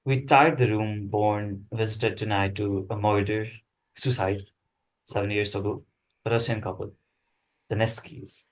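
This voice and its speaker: A man's voice: fairly low pitch, fairly even intonation, measured pace, close to an everyday voice, low-quality recording. A man at a script table read playing a businesslike intelligence analyst giving a briefing. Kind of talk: dramatic script reading.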